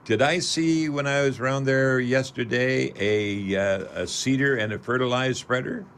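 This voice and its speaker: A Canadian man hosting a call-in radio show, chatting casually in a folksy, rambling, halting way. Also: mid-range voice, a bit bright, slight background noise. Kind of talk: casual live radio chat.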